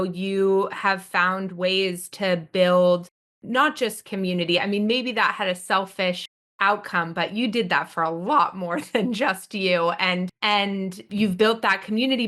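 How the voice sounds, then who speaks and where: A woman's voice: mid-range voice, fairly bright tone. Earnest woman podcast host. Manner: earnest, reflective questioner. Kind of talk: podcast interview.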